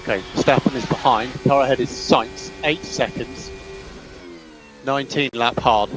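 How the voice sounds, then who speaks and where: A man's voice: mid-range voice, moderate pace, low-quality recording. Male race engineer on team radio, music behind. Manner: clipped, repeats instructions. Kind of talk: team radio instructions to a racing driver.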